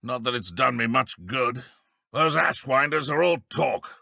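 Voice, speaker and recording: Haughty, gravelly British dark wizard. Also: male voice, medium pitch, a little slow, dry recording, clean studio sound, full character voice. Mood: smug, conversational. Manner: haughty, menacing. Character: smug, cold-blooded male dark wizard henchman. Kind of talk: video game character voice lines.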